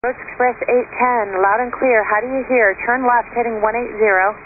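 A woman's voice: fairly high pitch, fairly even intonation, normal speed. A woman working as an air traffic controller, giving matter-of-fact instructions over aviation radio. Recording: tinny narrowband air traffic radio, faint static.

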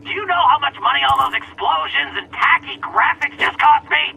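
An actor playing an irate game studio boss in a video game, scolding in an exasperated way. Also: male voice, very high voice, a little fast, creaky, pushed and bright, distant mic, lo-fi sound, a little hiss or hum, exaggerated voice. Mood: exasperated, angry. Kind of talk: video game character acting.

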